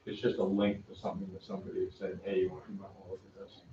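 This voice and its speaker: A man teaching a math class, giving loud, direct, brisk instructions to his students. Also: sits low, monotone, vocal fry. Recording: echoey classroom, dull lo-fi sound.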